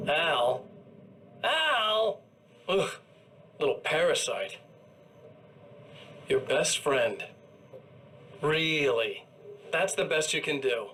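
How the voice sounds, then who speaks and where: A smug game villain double: drawled, mocking, slightly high voice. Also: male voice, bright, forceful tone, a little hiss or hum, exaggerated voice. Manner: slow, mocking and sneering, with taunting laughs. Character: smug, menacing male evil doppelganger.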